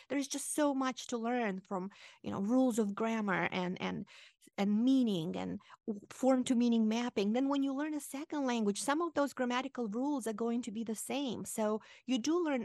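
A woman from Moldova with a Romanian accent, a radio guest and author explaining ideas clearly with frequent fillers. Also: fairly high pitch, a little mellow, no echo, clean studio sound. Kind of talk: radio interview.

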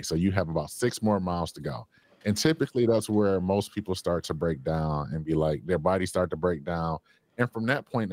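A man with a very low, deep voice speaks as a radio guest into a close studio mic. He talks with enthusiasm and laughs easily. The sound is dry, with no room echo. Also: darkish tone. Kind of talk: radio interview.

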